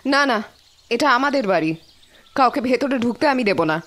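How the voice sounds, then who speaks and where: A woman's voice: on the high side, lively intonation, normal speed, a bit bright. An actress playing a curt, defiant middle-aged recluse in an audio drama, challenging whoever she faces.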